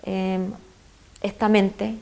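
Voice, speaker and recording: A woman's voice: mid-range voice, little pitch movement, very slow, creaky, warm tone. A woman with a Chilean accent, a guest expert in an interview, explaining earnestly with frequent fillers. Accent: Chilean Spanish.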